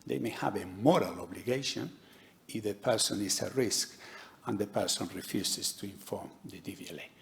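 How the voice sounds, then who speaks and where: Low gravelly man with a Spanish accent. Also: a little hiss or hum. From Spain. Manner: measured, explanatory, with light humour. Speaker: conference speaker, DVLA doctor. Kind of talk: conference talk. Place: conference hall.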